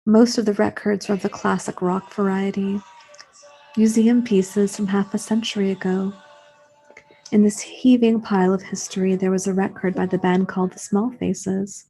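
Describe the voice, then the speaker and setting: A woman's voice: medium pitch, little pitch movement, moderate pace, mellow, low-quality recording. A woman reading a literary short story aloud in the first person, as a scripted narration.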